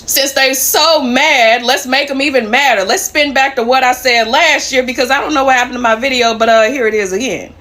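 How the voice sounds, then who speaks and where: A woman's voice: high-pitched, brisk, few pauses, a bit bright. A woman delivering a blunt, provocative social media rant in a mocking tone.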